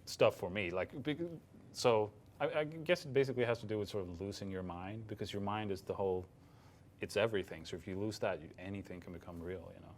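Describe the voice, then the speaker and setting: Low-voiced man with a slight Swedish accent. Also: fast. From Sweden. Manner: hesitant, many fillers and restarts. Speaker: film director. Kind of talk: casual interview answers.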